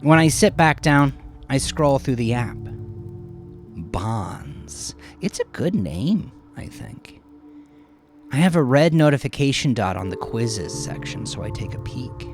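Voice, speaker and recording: A man narrating a first-person dramatic audio story in a confessional, conversational manner with dramatic pauses. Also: medium pitch, very animated. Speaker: narrator of his own horror story. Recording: music behind the voice. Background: background music.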